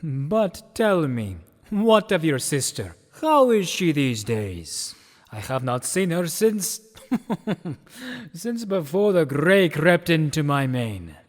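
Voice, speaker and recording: A man's voice: a little high, big pitch swings, takes it slowly. A man playing an aging, courtly pony king in a fan radio drama, speaking in a stately, theatrical and formal way. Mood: gracious. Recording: long reverberant hall.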